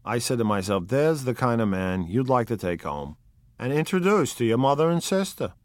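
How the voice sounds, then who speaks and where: A man's voice: mid-range voice, very animated, dry recording, pitched above the performer's own voice, close to an everyday voice. A man putting on a brooding old gangster. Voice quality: broken, ungrammatical speech. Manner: brooding, slow, nostalgic. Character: older male gangster, gloomy and sentimental.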